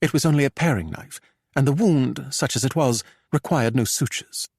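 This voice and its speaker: A man's voice: mid-range voice, moderate pace, darkish tone, breathy edge, no room sound, studio quality, pitched above the performer's own voice. A man voicing an arch, gossipy, well-preserved society woman for an audiobook. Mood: arch, dry.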